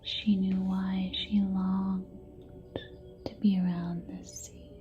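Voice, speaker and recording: A woman telling stories as an ASMR host in a gentle, hushed whisper with long pauses. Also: mid-range voice, completely flat delivery, highs cut off, faint music behind. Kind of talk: ASMR whispered bedtime storytelling.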